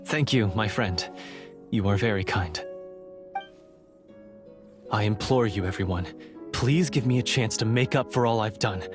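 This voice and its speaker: A man's voice: lowish voice, measured pace, long pauses, music in the background, natural voice. A man playing a repentant monster hunter in a video game, speaking earnestly in formal, dramatic phrases. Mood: heartfelt.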